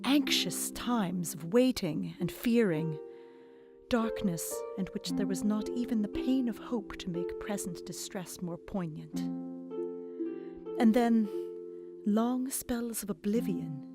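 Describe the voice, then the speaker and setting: An actress voicing a young woman who reads her diary aloud in a gentle, measured way, in a radio play. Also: mid-range voice, natural voice. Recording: music playing underneath.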